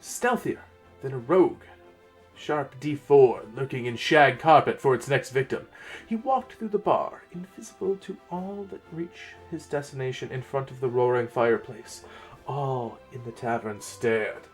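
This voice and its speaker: A man's voice: mid-range voice, unhurried, faint music behind, lightly acted, low-quality recording. A man playing a grandiose, pompous storyteller in a dramatic reading of a mock-epic fantasy tale. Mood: suspenseful. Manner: theatrical, pompous.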